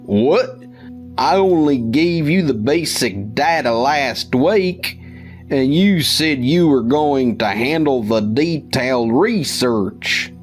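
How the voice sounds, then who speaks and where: Guy acting careful earnest coworker in a manga dub, slow but lively pitch, music behind. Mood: concerned. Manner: polite, earnest. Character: conscientious, cautious male office colleague. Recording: music under the voice.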